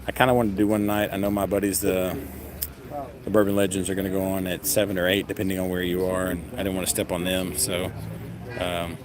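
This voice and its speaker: A low-pitched man talks loosely into his phone while standing in a long outdoor queue, stumbling through restarts and fillers and poking fun at himself. A dense crowd chatters around him the whole time. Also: completely flat delivery, fairly quick. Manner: rambling, self-deprecating, frequent fillers and restarts. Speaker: vlogger streaming live. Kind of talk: casual live stream commentary. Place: outdoors in a long festival queue near traffic.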